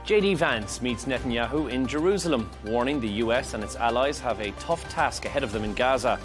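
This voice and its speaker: A man's voice: mid-range voice, animated, a little fast. Male news anchor, mid-range voice, scripted bulletin and live interview delivery, music underneath. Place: broadcast TV studio.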